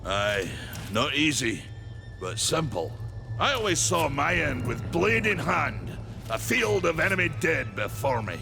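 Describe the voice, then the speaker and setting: Gravelly, gruff old warrior. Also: male voice, on the high side, big pitch swings, exaggerated voice. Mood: weary, resigned. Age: older. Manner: gruff, deliberate, proud. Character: proud, battle-weary old male warrior maker. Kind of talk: dramatic video game acting. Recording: music underneath.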